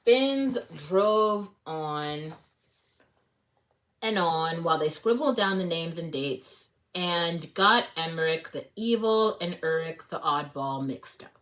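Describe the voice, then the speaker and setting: A woman reading a storybook aloud as a read-along host, expressive and informal, occasionally correcting herself. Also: fairly low pitch, takes it slowly. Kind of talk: storybook read-along narration with casual asides.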